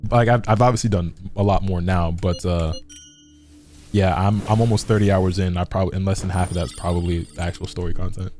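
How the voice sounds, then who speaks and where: A man's voice: low-pitched, steady tone, brisk, darkish tone, faint music behind. A man streaming and chatting casually, laid-back and rambling, full of "like" and repeated words. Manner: laid-back, swears freely. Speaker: gaming streamer.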